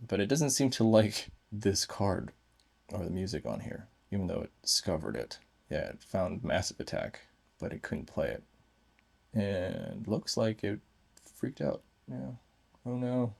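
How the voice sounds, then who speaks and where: A man reviews gadgets casually and without a script. His voice sits on the low side with plenty of gravelly fry. He thinks out loud as he goes, in short, broken phrases. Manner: thinking aloud in short fragments. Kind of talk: casual unscripted hands-on review.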